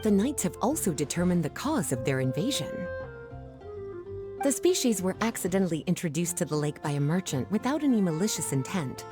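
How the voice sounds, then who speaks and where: An actress voicing a kindly, poised adult woman in a video game, coaxing and gently teasing in an indulgent, unhurried manner. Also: lowish voice, big pitch swings, over music. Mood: informative. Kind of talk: animated video game voice acting.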